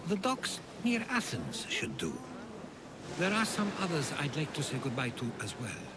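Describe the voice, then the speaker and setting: An actor playing a gentle, sincere, wistful seafaring companion in a video game cutscene. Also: male voice, a little high, huge pitch swings, moderate pace, very noisy.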